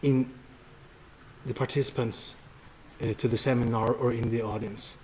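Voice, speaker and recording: A man with a Norwegian accent telling stories conversationally as an interview guest, often halting and restarting his words. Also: mid-range voice, flat delivery, over a noisy crowd. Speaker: public speaker and seminar leader, interview guest.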